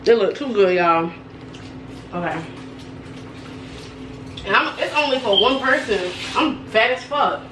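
A woman's voice: a little high, expressive, measured pace, pauses often, noisy background. A woman chatting casually to her viewers while cooking in a small home kitchen, playful and sing-song, often calling them "y'all". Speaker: cooking vlogger. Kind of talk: casual cooking chat to camera. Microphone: camera mic, speaker moving around.

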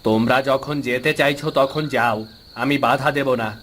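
A man's voice: medium pitch, steady tone, normal speed, fairly bright tone, slight background noise, natural voice. Man playing cautious older uncle, earnest warnings, cartoon. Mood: resigned.

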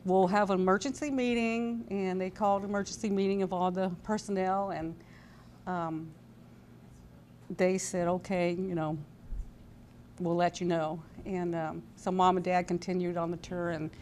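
A woman in her sixties telling a personal story as an interview guest, halting and conversational. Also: lowish voice, fairly even intonation, darkish tone. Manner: halting, conversational, voices quoted dialogue. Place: indoor TV studio-style set at a convention. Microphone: lapel mic. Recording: background noise and room ambience.

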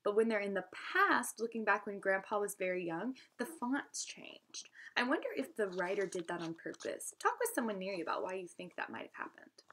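A young woman reads aloud like a teacher guiding a class. Her pitch moves in lively swings, and her delivery is expressive and instructive. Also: normal speed, hardly any pauses. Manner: instructive, addresses listeners with questions. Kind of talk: expressive storybook read-aloud with asides to students.

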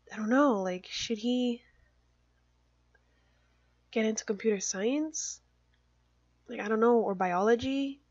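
A woman's voice: mid-range voice, no echo, dull audio. A young woman, a mother, chatting casually through a let's play, filling her talk with "like" and little giggles. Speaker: gaming YouTuber.

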